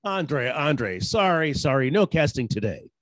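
A man's voice: fairly high pitch, very animated, measured pace, fairly bright tone, no room sound. A man playing a wry, exasperated, wisecracking private detective boss in an old-time radio show, with a sardonic, hard-boiled manner. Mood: dry, dismissive.

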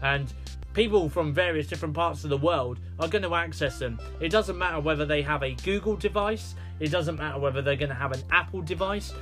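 A man's voice: on the high side, a little fast, fairly bright tone, faint music behind. A British man hosting a solo podcast, rambling with many fillers and restarts. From United Kingdom. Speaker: podcast host.

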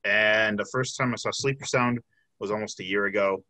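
A man's voice: fairly low pitch, fairly even intonation, normal speed, bright, forceful tone, dry recording. A man in his twenties from the Chicago suburbs, hosting a casual interview with a band and rambling through lots of fillers. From south suburbs of Chicago. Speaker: podcast host.

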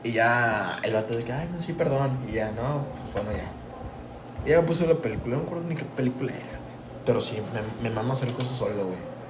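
A young Mexican man from Tampico, talking loose and slangy with constant profanity as a podcast co-host. His voice sits at a middle pitch. The room rings with echo and a clear hiss of noise. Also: lively intonation, fast. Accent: Mexican Spanish, from Tampico. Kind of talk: casual podcast chat.

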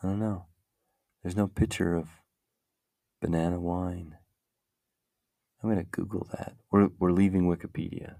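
A man's voice: deep voice, little pitch movement, unhurried, gravelly, pauses often, mellow, no echo, clean studio sound. A man hosting a casual talk show, musing aloud in a meandering way as he browses the web. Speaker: podcast host. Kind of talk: casual rambling chat.

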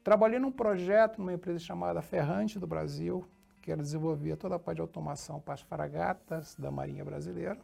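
Older-ish rio guy chatting in an interview, super creaky gravelly voice, soft music under it. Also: fairly high pitch, quick pace. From born in Portugal, grew up in Rio de Janeiro, Brazil. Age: middle-aged or older. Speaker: interview guest, technology executive. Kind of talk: casual conversational storytelling.

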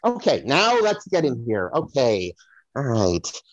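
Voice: medium pitch, huge pitch swings, unhurried, dry recording. A performer playing an apologetic, flustered psychic in a comedic audio play, stammering through excuses, on a slightly dull recording. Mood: flustered, covering up. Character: flustered, apologetic psychic to the stars, fibbing brightly.

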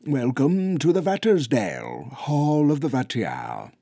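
A man's voice: mid-range voice, big pitch swings, a little slow, vocal fry, mellow, breathy edge, no room sound, clean studio sound, pitched above the performer's own voice, heavily acted. A man voicing a short, wide Bigfoot elder who speaks in a ceremonious way. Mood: stern.

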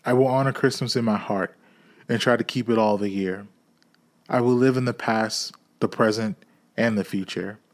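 A man reading aloud plays an elderly reformed miser bursting with happiness. His voice climbs above its usual pitch as he exclaims with giddy energy. It is a storybook performance driven by delight. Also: moderate pace, natural voice. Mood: pleading. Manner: excited, exclamatory, raised voice. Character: old male miser, giddy and overjoyed, redeemed.

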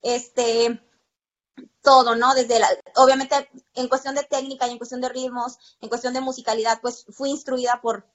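Female podcast guest, Mexican accent, fairly high voice, emphatic conversational storyteller, slightly muffled sound. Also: little pitch movement, normal speed. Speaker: interview guest, percussion teacher.

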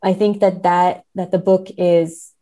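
Low, mellow-voiced woman discussing literature. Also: little pitch movement, unhurried. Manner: hedging, analytical, often seeking agreement with "right?". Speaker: podcast guest, literature scholar.

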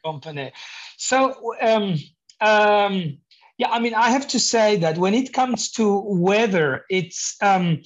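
A man's voice: sits high, animated. Polish-accented male guest, non-native English, hesitant, slightly muffled sound. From Poland. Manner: halting, searches for words, laughs often. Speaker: guest, painting contractor. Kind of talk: interview conversation.

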